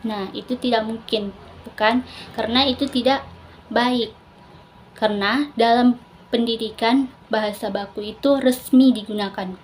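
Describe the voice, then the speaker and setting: A young woman speaks in a fairly high voice. She sounds like a student delivering a prepared presentation, adding explanations as she goes. Some noise sits behind her voice in the recording. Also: fairly even intonation, measured pace, long pauses, low-quality recording. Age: young adult. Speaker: university biology student presenting an assignment. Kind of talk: scripted presentation with explanatory asides.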